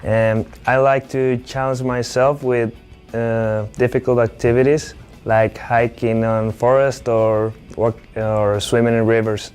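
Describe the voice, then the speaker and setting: A man from Colombia, a student, talking in a relaxed way with some fillers. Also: mid-range voice, unhurried, smooth, faint music behind. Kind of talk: recorded personal statement.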